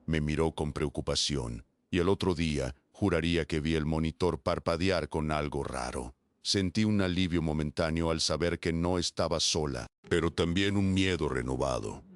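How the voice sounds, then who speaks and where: Man, extremely low voice, scripted horror narration, slow dramatic pauses. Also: no room sound, clean studio sound.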